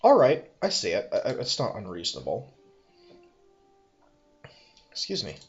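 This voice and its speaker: A man's voice: on the low side, wildly swooping pitch, normal speed, dull audio, faint music behind. A man thinking aloud as he plays a strategy game, musing, correcting himself and making dry asides. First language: English. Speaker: let's play host.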